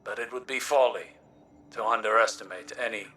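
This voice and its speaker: An actor playing a formal, precise scholar in a game, gravely delivering a scripted briefing, sounding slightly away from the mic. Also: male voice, fairly low pitch, a little fast, pushed and bright, distant mic.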